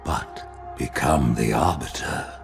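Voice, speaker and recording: An old alien high priest with an extremely deep, gravelly, creaking voice. He speaks in solemn, pompous pronouncements like a ruler in a dramatic game cutscene, over faint music. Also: full character voice. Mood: imperious, grave. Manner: solemn, measured, pompous oratory. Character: alien high prophet, old male religious leader, grave and imperious.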